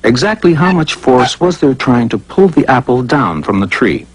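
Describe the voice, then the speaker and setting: A man's voice: mid-range voice, big pitch swings, normal speed, husky, rough recording. A man narrating a scripted educational cartoon in a playful, conversational way, full of rhetorical questions.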